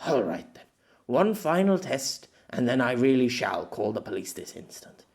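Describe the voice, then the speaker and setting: Performer as an exasperated, animated storyteller man. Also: medium pitch, normal speed, gravelly. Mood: testy. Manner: fussy, formal, flustered. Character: exasperated, sceptical storyteller man, feeling old.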